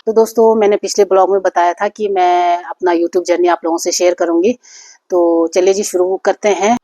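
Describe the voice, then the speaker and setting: A woman's voice: lowish voice, steady tone, moderate pace, warm tone, dry recording. A woman vlogging casually about her channel, with a sore throat from a cold, rambling with many fillers and restarts. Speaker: YouTube vlogger. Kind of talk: casual chat to camera. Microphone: phone.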